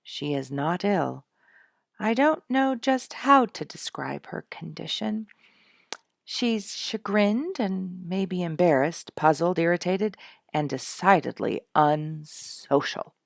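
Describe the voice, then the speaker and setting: A woman's voice: on the low side, expressive, slow pace, vocal fry, no echo, clean studio sound, voice pushed up, full character voice. A woman voicing a rueful, dramatic father in a storybook, weary and emphatic as he recounts his troubles. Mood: grave, evasive.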